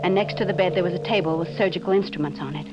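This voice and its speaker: A woman's voice: fairly low pitch, racing, loud music behind the voice, lightly acted. An actress playing a confused, troubled wife searching her memory, in the melodramatic style of 1940s radio acting. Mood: haunted, brooding. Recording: muffled, noisy old radio recording.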